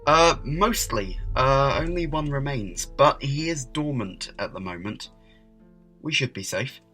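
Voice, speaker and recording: A man playing a distracted, wry, brooding time traveller in an audio drama, speaking haltingly. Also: medium pitch, expressive, sharp tone, soft background music. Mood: explaining. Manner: halting, hesitant, full of uhs.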